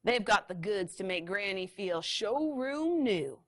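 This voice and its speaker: A woman's voice: medium pitch, lively intonation, unhurried, fairly bright tone, no room sound, studio quality. Woman doing a mean monster truck villain. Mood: sly. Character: big mean male monster truck, gruff and greedy.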